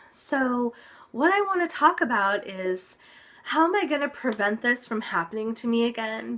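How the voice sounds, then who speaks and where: Slow, unhurried young woman vlogger, stuffy-nosed and recovering, rambling casual update. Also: fairly high pitch, a bit bright. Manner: chatty, lots of ums, occasional laughs. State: congested, recovering from illness. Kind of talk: casual health update vlog.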